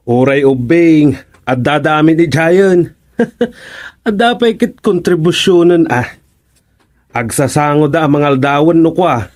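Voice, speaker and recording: An actor plays a good-natured village man in an Ilocano radio comedy drama. He talks slowly in a fairly high male voice, cheerful and admiring of his community. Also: animated, a little mellow.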